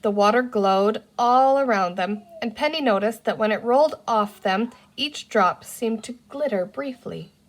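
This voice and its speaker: A woman narrating a children's adventure story as an expressive read-aloud storyteller. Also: fairly high pitch, unhurried, few pauses.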